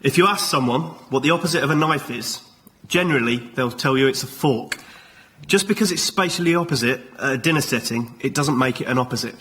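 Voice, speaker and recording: A man with a husky voice, explaining an idea as a guest on a comedy show. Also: medium pitch, brisk, fairly bright tone. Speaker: guest contestant. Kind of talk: explaining a tongue-in-cheek theory on stage.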